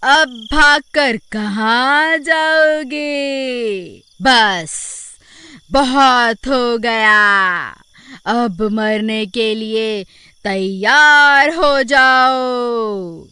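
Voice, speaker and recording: A woman voicing a menacing bald witch in a cartoon horror story, taunting in a drawn-out way. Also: a little high, pushed and bright, clean tone, no echo, voice pushed down, heavily acted. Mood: deadly threat.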